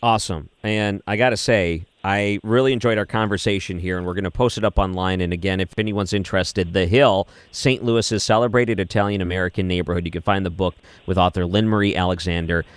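Deep-voiced male radio host. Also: fairly even intonation, a little fast, hardly any pauses, clear, resonant tone, no echo. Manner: affirming, with frequent short responses. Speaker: radio talk show host. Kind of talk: overnight talk radio interview. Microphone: studio microphone.

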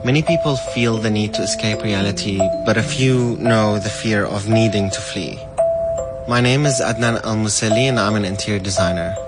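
A man from Syria, an interior designer, calmly telling his own story of starting over. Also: lowish voice, moderate pace. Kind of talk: narrated personal story over music. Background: loud music bed.

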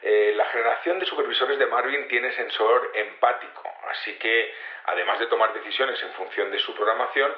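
An actor playing a patient but hesitant security investigator being interviewed in a naturalistic audio drama, explaining things colloquially with repetitions and tag questions. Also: male voice, mid-range voice, moderate pace, roomy sound, lo-fi sound, natural voice. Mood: explanatory, guarded. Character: male security investigator, patient, hesitant, self-assured in his theory.

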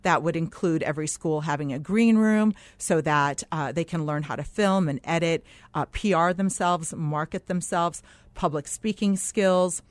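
A woman's voice: low-pitched, moderate pace, no room sound. A middle-aged woman from Lebanon speaking as a guest in a radio interview, explaining her views in an earnest, emphatic way with many asides. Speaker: political candidate, studio guest. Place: radio studio. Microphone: close studio microphone.

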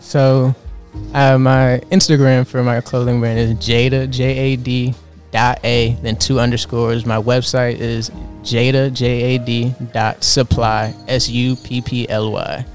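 Flat-toned young man, relaxed and earnest. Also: medium pitch, a little slow, faint music behind. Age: 20s. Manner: relaxed, filler-heavy, earnest. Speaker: fashion designer interviewed. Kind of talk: casual interview answers.

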